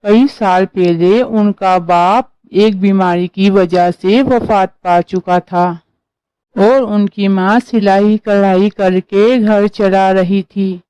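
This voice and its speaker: A woman's voice: medium pitch, fairly even intonation, takes it slowly, warm tone, clear, resonant tone, no echo, poor audio. A woman narrating a scripted story as a storyteller.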